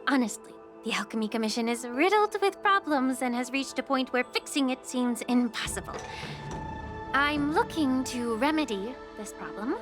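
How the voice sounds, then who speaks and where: A woman voicing a composed, wry healer official in a video game, speaking in a measured, polite and philosophical way. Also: high voice, animated. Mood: reflective, measured. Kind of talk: English video game voice acting. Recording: loud music under the voice.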